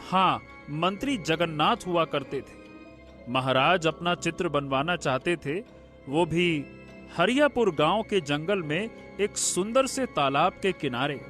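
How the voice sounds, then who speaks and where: A sorrowful, humble young painter voiced by a man in an animated folk story. The voice sits high and moves very slowly, halting and plaintive, with faint music behind it. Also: full character voice. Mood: sad recollection. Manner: halting, plaintive, repeats phrases for effect. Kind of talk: Hindi animated moral story dialogue.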